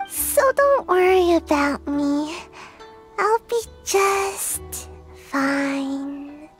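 A tiny, drowsy girl ninja drifts through her lines at a sluggish, lazy pace. The voice sits very high and swings up and down as she yawns her way toward a nap. Game dialogue with faint music underneath. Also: full character voice. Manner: drowsy, slow and soft-spoken. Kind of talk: video game voice acting.

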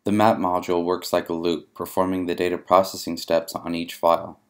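Male narrator, low register, measured and methodical, for a scripted software demo. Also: flat delivery. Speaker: software demonstrator. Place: voice-over for a screen recording.

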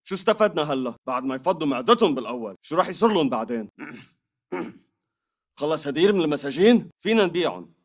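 A man's voice: a little high, very animated, fast. An actor voicing an impatient, hardened militant gunman in a video game, in Syrian Arabic. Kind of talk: video game battle dialogue.

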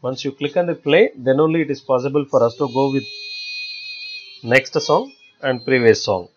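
A man giving step-by-step software tutorial narration as an instructor, in a halting, repetitive explanatory way. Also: mid-range voice, noisy background. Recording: dull lo-fi voice-over recording.

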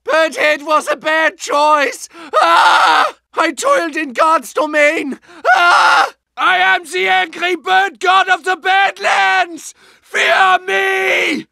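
A manic German mad doctor. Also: male voice, extremely high pitch, animated, takes it slowly, vocal fry, hardly any pauses, bright, forceful tone, no room sound, raised voice, heavily acted. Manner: theatrical, barking, gleefully deranged. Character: manic German male battlefield doctor, unhinged. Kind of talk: video game voice lines.

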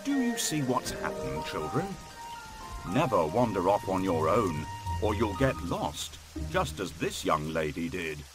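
A man playing a kindly, concerned old king in a children's storybook, fatherly and gently admonishing. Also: deep voice, huge pitch swings, measured pace, music in the background, pitched below the performer's own voice, full character voice. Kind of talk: children's storybook character acting.

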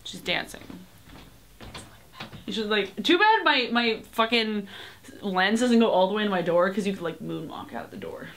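A bright-toned young woman in her twenties talks rambly and unfiltered to a webcam in a small bedroom. Her speech is loose and full of fillers and casual cursing, at a middling pitch. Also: lively intonation, moderate pace, creaky, pauses often. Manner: rambling, lots of "like" and "um", swears casually. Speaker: vlogger. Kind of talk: casual chatty vlog. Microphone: webcam at desk distance.

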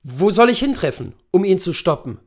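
A male narrator performs a jittery husband from a safari storybook. He is irritable and fretful, a novice hunter who keeps complaining. His voice runs high with restless, lively intonation. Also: a little fast, breathy edge, full character voice. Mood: anxious.

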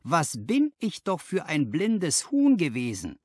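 A man's voice: a little high, wildly swooping pitch, slow, a little mellow, dry recording, voice pushed up, heavily acted. A man voicing a dismayed young clerk in an audiobook, exclaiming and asking anxious questions. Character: dismayed, agitated young male clerk seeking help. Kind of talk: audiobook character dialogue.

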